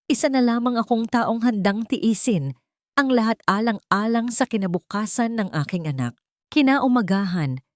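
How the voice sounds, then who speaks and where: A woman with a fairly low voice narrates a dramatic first-person story. Her delivery is solemn and emotive, and the recording is dry and close, without room sound. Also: darkish tone, no echo.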